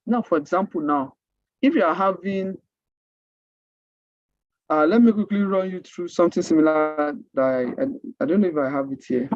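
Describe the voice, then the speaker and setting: A man with a Nigerian accent and Yoruba as his native language, mentoring a class in an informal, halting way, repeating himself and asking rhetorical questions. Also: high-pitched, a little mellow, dry recording, dull audio. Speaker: coding mentor. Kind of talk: informal online teaching.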